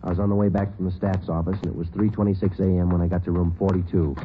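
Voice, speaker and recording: An actor playing a terse, deadpan police sergeant who talks in clipped, matter-of-fact lines, in 1940s radio acting. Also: male voice, low-pitched, quick pace, close to an everyday voice. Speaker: homicide detective sergeant and narrator. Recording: tinny hissy old radio broadcast.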